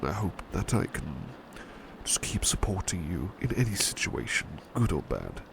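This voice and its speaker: A man playing a devoted servant turned talking plush toy in a soothing ASMR roleplay, soft-spoken, reassuring and politely formal, a little hesitant. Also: low voice, sing-song, moderate pace, gravelly, background noise, heavily acted. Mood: reassuring, tender.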